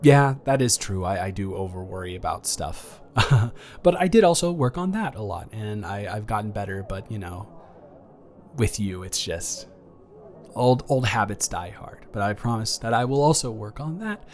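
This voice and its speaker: A young man playing a nervous, tender ex-boyfriend in an intimate, improvised romantic audio roleplay, speaking earnestly in a halting, rambling way. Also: lowish voice, big pitch swings. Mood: shy, tender. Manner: halting, rambling, earnest delivery with repeated restarts.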